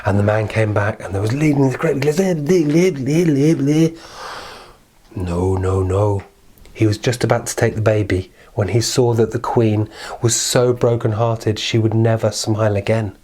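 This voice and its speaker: A man's voice: lowish voice, a little slow, dark tone, slightly rough. A man telling a children's fairy tale in an informal, improvised, playful way, repeating words for emphasis. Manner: playful, conversational, with emphatic repetition. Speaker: children's storyteller.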